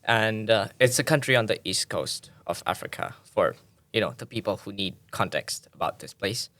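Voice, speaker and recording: A man's voice: on the low side, expressive, normal speed, fairly bright tone. A man from Tanzania, a podcast guest, explaining at length in a thoughtful way with frequent restarts. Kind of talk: explanatory conversational interview.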